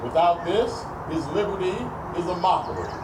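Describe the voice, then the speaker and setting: A man playing a grave, defiant 19th-century abolitionist orator in a dramatized speech, emphatic and rhetorical, with deliberate pauses. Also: high-pitched, very animated. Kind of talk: dramatized historical political oration. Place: public re-enactment at a farm park. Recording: distant, echoey, very noisy live event recording.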